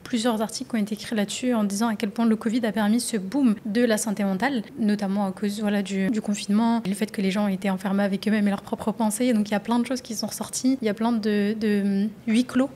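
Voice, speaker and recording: A woman's voice: medium pitch, steady tone, fast talker, few pauses, mellow, a little hiss or hum. A young woman psychologist hosting her own podcast, talking thoughtfully and wandering off track with frequent restarts and fillers. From France. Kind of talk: solo podcast monologue.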